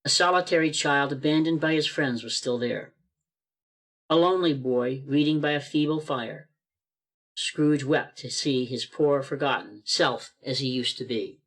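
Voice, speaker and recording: A man's voice: mid-range voice, unhurried, fairly bright tone. A man narrating a radio play as a scripted storyteller.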